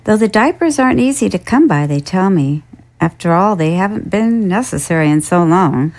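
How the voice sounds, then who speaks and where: A woman's voice: fairly low pitch, animated, normal speed, a little mellow, rough recording. A woman playing a prim, devoted old family nursemaid in an audio drama, soothing and dutiful.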